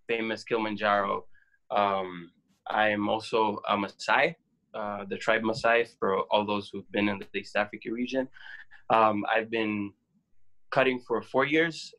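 Bright-voiced young Tanzanian man. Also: on the low side, poor audio. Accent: Tanzanian English. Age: young adult. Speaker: panel guest. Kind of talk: casual self-introduction. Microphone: video call.

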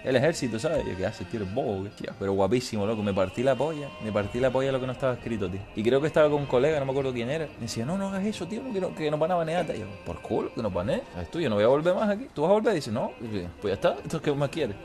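A man's voice: mid-range voice, animated, racing, few pauses, a little mellow, faint music behind. A man, chatting and telling stories crudely and jokingly as he streams a game from a room at home. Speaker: gaming streamer. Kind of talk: casual livestream chat and storytelling during gameplay. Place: small room at home, indoors.

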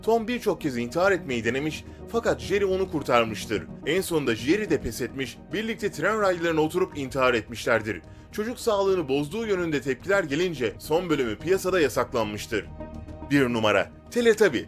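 Male narrator, scripted countdown read, highly animated pitch, music underneath. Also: brisk.

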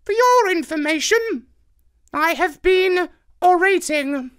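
A man's voice: extremely high pitch, animated, slow pace, fairly bright tone, pitched above the performer's own voice, full character voice. A man voicing a haughty, megalomaniac parrot in a squawky screech for a children's story. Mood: pompous. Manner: haughty, orating, self-important. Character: pompous megalomaniac male parrot, screechy and imperious.

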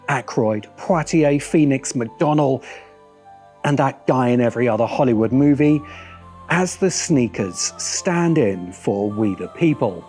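A middle-aged man delivering scripted video essay commentary in a deliberate, wry manner. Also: mid-range voice, darkish tone. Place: open-sided outdoor pavilion by a garden. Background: background music.

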